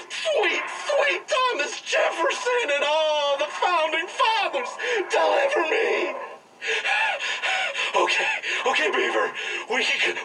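Hammy, high-pitched panicking fur trapper. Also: male voice, creaky, bright, forceful tone, far from the mic, full character voice, poor audio. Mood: pleading, bargaining. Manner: hammy, overwrought exclamations. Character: melodramatic fur trapper being mauled, old comic adventure movie. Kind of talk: campy old movie acting.

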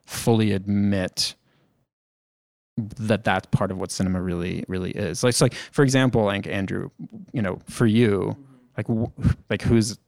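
A man's voice: fairly low pitch, steady tone, measured pace, gravelly, darkish tone, no room sound. A man asking hesitant questions in casual conversation, full of fillers. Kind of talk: casual chat.

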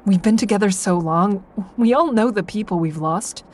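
A woman's voice: mid-range voice, normal speed, a little mellow, close to an everyday voice. A woman playing a sardonic, anxious insomniac, confiding dryly as she narrates a first-person audio drama. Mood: fed up, grieving. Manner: wry, confiding, dry.

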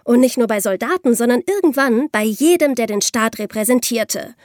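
Female history podcast co-host, slightly high pitch, clear emphatic explainer, very dry recording. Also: expressive, normal speed, a little mellow, no echo.

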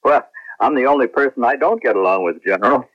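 A man's voice: mid-range voice, lively intonation, fast, lightly acted. An actor playing a wry, skeptical male space captain with a dry, wisecracking manner in a 1970s radio drama. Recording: muffled old radio broadcast.

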